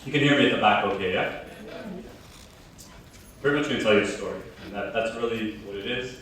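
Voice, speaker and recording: A man's voice: on the low side, brisk, fairly bright tone, far from the mic, slight background noise. A man in his thirties giving a casual, joking keynote talk to a live audience, telling his story in a large conference hall. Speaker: keynote speaker. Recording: very echoey hall, heard from afar.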